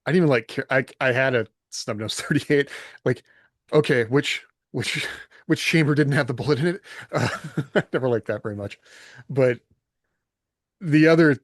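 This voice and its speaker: A man's voice: mid-range voice, moderate pace, no echo, clean studio sound. A man co-hosting a podcast in casual, self-deprecating chat, often ready to chuckle. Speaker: podcast co-host.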